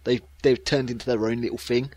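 A man's voice: mid-range voice, flat delivery, quick pace, darkish tone, no echo. A British man with a mid-range voice, recorded dry and close. He co-hosts a podcast in casual, teasing, sweary banter. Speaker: podcast co-host.

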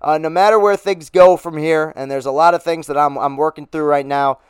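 A man hosting a casual sports podcast, upbeat and rambling with fillers, his voice a little hoarse. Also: fairly high pitch, fairly even intonation, a little fast, no room sound, studio quality. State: losing his voice. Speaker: podcast host.

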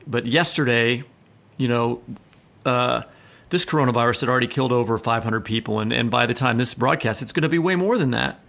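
A man's voice: medium pitch, creaky, a bit bright. A man interviewing as a podcast co-host in an informal, questioning way.

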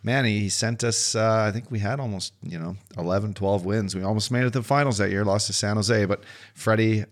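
A man from Canada, a former hockey player, co-hosting a podcast and telling relaxed, upbeat stories full of shout-outs. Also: on the low side, fairly quick. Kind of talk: casual chat.

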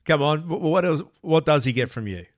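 A man's voice: on the high side, animated, a little fast, no echo, clean studio sound. A middle-aged Australian man hosting a sports radio show, chatting and interviewing in an emphatic, jokey way. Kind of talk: animated radio interview chat.

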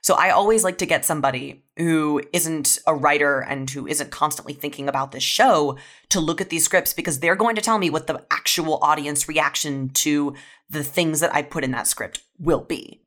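A woman's voice: low voice, normal speed, a bit bright. A woman speaking as a writer guest in a casual panel discussion, emphatically repeating words for stress. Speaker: podcast guest, audio fiction writer.

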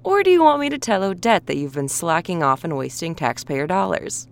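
A cold, bullying veteran cop, smug and threatening, lively rising and falling delivery, audio drama. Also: female voice, lowish voice, a little fast, gravelly, slight background noise. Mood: menacing. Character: coldly menacing veteran woman police officer. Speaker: police officer.

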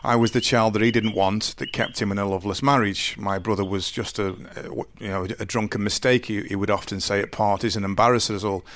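A man in his forties with a deep voice, telling his life story slowly and earnestly in an interview, often restarting his sentences. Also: fairly even intonation, a bit bright. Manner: slow, halting, earnest storytelling with many restarts. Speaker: interview guest. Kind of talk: candid personal interview.

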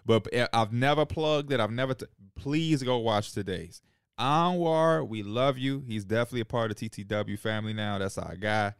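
A man in his twenties chats casually as a podcast co-host. His voice is smooth and clean, recorded dry with little room sound. He talks in an emphatic, slangy way and swears freely. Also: medium pitch, moderate pace, no room sound. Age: 20s. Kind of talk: casual chat.

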